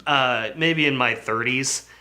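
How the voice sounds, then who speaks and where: A man's voice: medium pitch, slow, sharp tone. A man in his forties, a co-host in casual chat, explaining things thoughtfully and at length.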